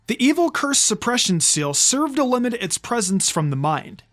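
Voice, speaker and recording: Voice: mid-range voice, a bit bright. A narrator reading a story aloud in a scripted, audiobook style. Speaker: narrator reading fan fiction.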